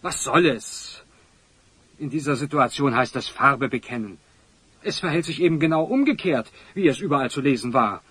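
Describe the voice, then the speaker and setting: A man playing a pompous, florid older wealthy magnate with a husky voice in a children's audio drama. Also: medium pitch, animated, normal speed, a bit bright. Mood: distressed, confessing.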